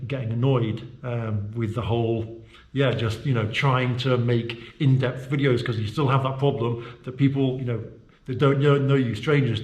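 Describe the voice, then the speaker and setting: A British man talks casually into a handheld camera while walking around his office. His voice sits at an ordinary middle pitch, and he rambles with plenty of fillers and restarts. The room around him is echoey. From United Kingdom. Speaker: vlogger. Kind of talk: casual walking-tour vlog. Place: his own indoor office and studio. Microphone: handheld camera mic.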